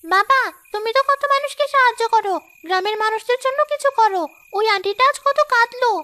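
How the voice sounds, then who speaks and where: A young village girl, earnest and kind, speaks in a very high, bright voice, as a woman puts her on. She talks up to the grown-ups with feeling. The medium is a storybook cartoon. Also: fast talker. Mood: pleading. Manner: earnest and pleading. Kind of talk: cartoon voice acting.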